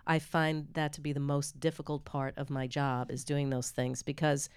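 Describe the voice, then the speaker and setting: A woman with a low, smooth and clear voice speaks as an editor on a panel. She talks in a frank, conversational way. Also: flat delivery, normal speed. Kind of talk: panel discussion.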